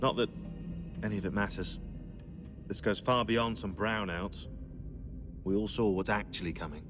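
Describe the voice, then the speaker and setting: An actor playing a dry, sardonic, bookish researcher in a video game cutscene, talking in a wry, articulate way. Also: male voice, fairly low pitch, moderate pace, over music, lightly acted. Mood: grim.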